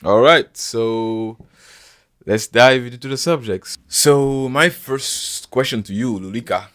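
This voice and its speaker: A man hosting a casual podcast interview, talking in halting bursts with many restarts and some teasing. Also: medium pitch, fairly bright tone. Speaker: podcast host.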